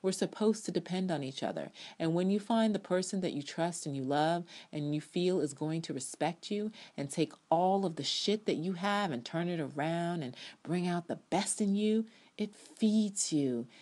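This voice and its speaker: Low-voiced, theatrical American woman. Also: expressive, moderate pace, few pauses, dark tone. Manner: emphatic, sassy, theatrical. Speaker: blogger reading her own TV review aloud. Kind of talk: dramatic reading of opinionated review with ad libs.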